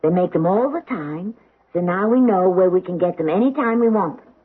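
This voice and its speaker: A solicitous, insistent middle-aged daughter in a seventies radio play. Her voice is husky and her delivery is coaxing, probing and intense. It sounds like a thin, phone-like vintage broadcast. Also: fairly low pitch, lively intonation, fairly quick. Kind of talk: 1970s radio drama acting.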